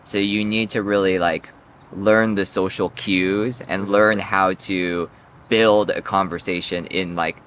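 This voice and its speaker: A man's voice: deep voice, fairly even intonation, slow, fairly bright tone, slight background noise. A young man hosting a casual interview, laid-back and slangy, with plenty of fillers and easy laughter. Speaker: interviewer and channel host.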